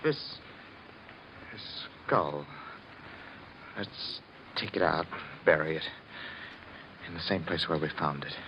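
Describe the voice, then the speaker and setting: An actor playing a skeptical, impatient master of the house who dismisses fears yet falters, in 1950s radio horror. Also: male voice, very deep voice, slow, gravelly, pauses often, exaggerated voice. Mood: insistent. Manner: dismissive, insistent, faltering under strain. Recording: muffled, hissy vintage radio recording.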